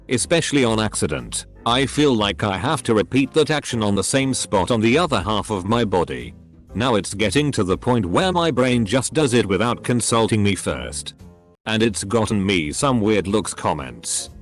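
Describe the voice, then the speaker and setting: A synthetic male narrator reading online posts aloud, with stiff delivery that speaks punctuation marks as words. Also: on the low side.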